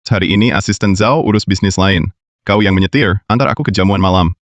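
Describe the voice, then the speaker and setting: A man's voice: low-pitched, a little fast, no echo. A young man voicing a curt, commanding company president in a dubbed drama. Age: young adult.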